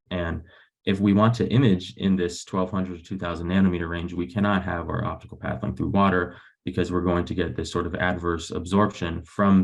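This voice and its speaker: Young male researcher, very low gravelly register, explaining technical slides on a webinar. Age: young adult. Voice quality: low, creaky voice. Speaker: graduate student researcher presenting. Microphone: video call.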